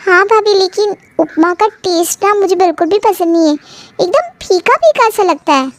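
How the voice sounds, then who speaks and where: A woman's voice: extremely high pitch, lively intonation, normal speed. A woman voicing a picky, sarcastic young sister-in-law in a cartoon family story, pouty and exasperated. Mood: sulky.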